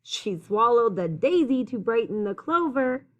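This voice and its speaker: A woman teacher reading a picture book aloud to young children in an expressive, sing-song, encouraging way. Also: fairly high pitch, unhurried. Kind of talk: children's story read-aloud, addressing young listeners.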